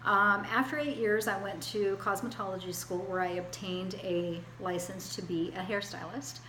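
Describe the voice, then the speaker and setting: Bright-voiced woman in her forties, hesitant. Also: mid-range voice, fairly even intonation, normal speed, echoey room, a little hiss or hum, low-quality recording. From Hawaii, US. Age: 40s. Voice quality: hesitant, many fillers and restarts. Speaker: student. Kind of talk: self-introduction video.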